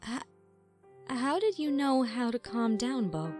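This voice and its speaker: A woman's voice: fairly high pitch, animated, a little slow. A woman voicing a polite, hesitant adult female pony in a fan fiction audio story, speaking tentatively. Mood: curious, polite. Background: music.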